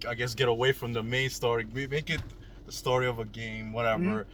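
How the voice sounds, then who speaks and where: A man chatting casually as a co-reviewer, in a halting, understated way. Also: mid-range voice, fairly even intonation, fairly bright tone. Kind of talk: casual chat. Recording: noisy ambience with car sounds. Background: car and vehicle noise.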